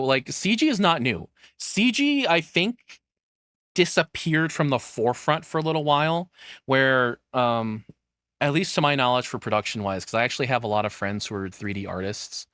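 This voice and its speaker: A man streaming reaction commentary in an average-pitched voice, recorded close and dry. He rambles casually, swears freely and gives blunt opinions in an informal way. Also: fairly bright tone, dry recording.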